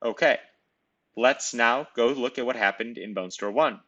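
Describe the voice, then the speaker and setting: A man narrating a screen-recorded software demo, explaining each step in a conversational way. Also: medium pitch, normal speed, highs cut off. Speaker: software demonstrator. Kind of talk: screen-recorded tutorial walkthrough.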